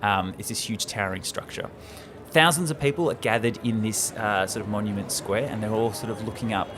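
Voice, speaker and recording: A man's voice: on the low side, a little fast, background noise. A man co-hosting a show, retelling a film script in an informal, enthusiastic, chatty way. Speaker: podcast co-host.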